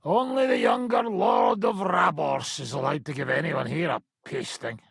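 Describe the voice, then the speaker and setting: An actor playing a brash, foul-mouthed medieval mercenary commander in a video game, with a rough, raspy voice and a coarse, sardonic swagger. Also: male voice, mid-range voice, huge pitch swings, normal speed, no room sound, studio quality, heavily acted. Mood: mocking, joking. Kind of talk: video game character acting.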